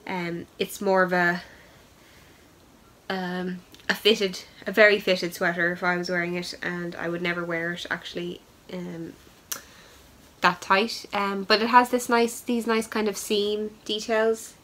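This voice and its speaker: A woman's voice: on the low side, little pitch movement, measured pace, fairly bright tone, low-quality recording. A young woman hosts a knitting podcast in a casual, chatty way, full of ums and upbeat asides, and she has a head cold. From Ireland. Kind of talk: casual show-and-tell chat.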